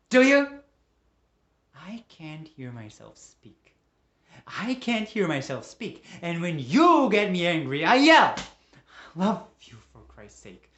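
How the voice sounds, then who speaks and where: A man playing a volatile, possessive husband who lurches between hushed pleading, whispers and screaming rage, stammering when he turns apologetic. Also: mid-range voice, natural voice.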